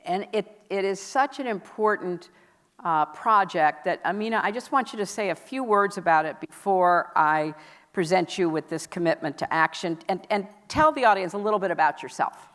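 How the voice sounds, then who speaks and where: A woman hosting a conference session, giving a public speech in a measured, deliberate and emphatic way. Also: on the low side, lively intonation, a bit bright. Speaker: host presenting a commitment at a conference session. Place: large indoor event hall. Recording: echoey hall, long reverb.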